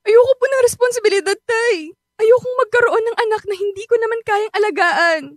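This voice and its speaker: A woman's voice: extremely high pitch, a little fast, dry recording, studio quality. A woman playing a distraught, resentful teenage daughter in a radio drama, pleading and emotional. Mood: hurt, resentful.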